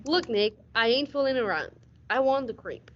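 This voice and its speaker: A woman's voice: fairly high pitch, animated, moderate pace, natural voice. A teenage woman playing a hard-nosed male police detective in a radio play, narrating in hardboiled style with some stumbles over her script. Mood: tough, demanding. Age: teens. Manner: stumbles and repeats words while reading. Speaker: school radio drama actor. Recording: dull audio with faint noise.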